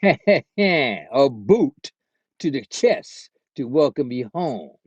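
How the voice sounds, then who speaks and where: A man's voice: mid-range voice, sing-song, drawn-out words, slightly rough, no echo, muffled recording, heavily acted. A man playing a cruel, arrogant sci-fi villain who gloats and taunts, in a screenplay table read. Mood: gloating, taunting.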